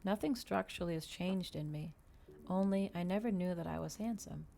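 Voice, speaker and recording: A woman voicing a solemn, eloquent male vampire in a measured, formal storybook reading. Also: fairly low pitch, vocal fry, warm tone, a little hiss or hum. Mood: wistful.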